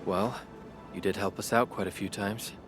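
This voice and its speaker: Low, subdued, weary man, acted. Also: fairly even intonation, normal speed, over music, close to an everyday voice. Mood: agreeable. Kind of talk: video game voice acting.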